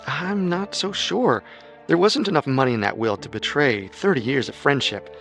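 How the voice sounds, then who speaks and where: A man narrating a true-crime radio story in a measured, skeptical way over soft music. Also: mid-range voice. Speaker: narrator and co-host.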